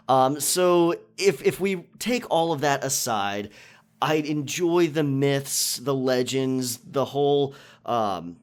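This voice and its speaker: A man talking casually and reflectively as a channel creator, answering listener questions and explaining his thinking. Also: fairly high pitch. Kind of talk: casual Q&A monologue.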